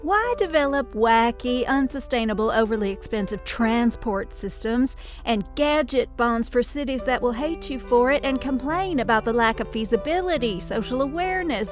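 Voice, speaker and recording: A woman playing an upbeat corporate announcer in a parody recruitment commercial, giving a polished, persuasive sales pitch. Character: slick, upbeat female corporate advert announcer.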